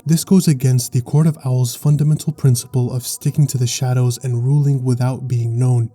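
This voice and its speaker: A man narrating a scripted explainer in a measured, articulate storytelling way. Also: mid-range voice, mellow. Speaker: video essay narrator.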